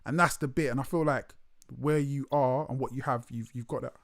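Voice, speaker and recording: A man, interviewing a guest in a relaxed, animated way and repeating words as he talks. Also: mid-range voice, a little fast, no echo, clean studio sound. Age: late 30s. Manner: animated, repeats words, lots of slang fillers. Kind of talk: casual interview chat.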